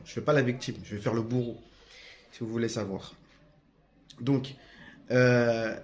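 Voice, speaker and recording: A man's voice: mid-range voice, a little mellow. Hesitant, defiant man talking to camera. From France. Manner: defiant, many hesitations and restarts. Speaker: religious video commentator. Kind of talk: unscripted monologue to camera.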